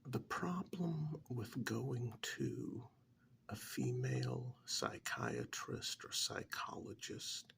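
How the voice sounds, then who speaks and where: A man playing a calm, frank therapist in a soft-spoken ASMR role-play, talking in a measured, halting, half-whispered way close to the microphone. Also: mid-range voice, gravelly, slight background noise, heavily acted. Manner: measured, halting, matter-of-fact, professional. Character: calm, frank male therapist counselling a troubled married man.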